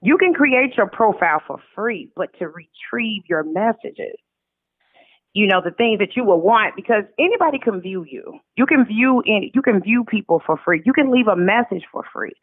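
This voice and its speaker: A woman's voice: mid-range voice, expressive, normal speed, slightly rough, dry recording. A middle-aged woman with an American accent telling her story casually and candidly, with emphatic, colloquial phrasing, over a phone call. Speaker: interview guest, author. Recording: narrow, tinny phone line.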